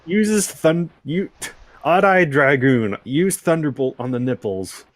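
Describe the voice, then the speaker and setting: A man's voice: on the high side, expressive, a little slow. A man co-commentating on a reading in a casual, joking chat style. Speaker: co-commentator on a fan fiction reading.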